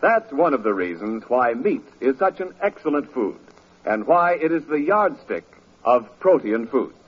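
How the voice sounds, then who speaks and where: A man's voice: medium pitch, very animated, measured pace, breathy edge. An American man announcing and narrating a scripted 1940s radio show. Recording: dull old radio broadcast recording.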